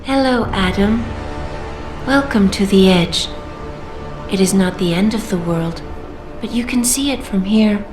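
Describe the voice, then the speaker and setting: An actress voicing a calm female AI newscaster in a video game, smooth and composed. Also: mid-range voice, a little slow, pauses often, lightly acted. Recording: roomy game audio with music.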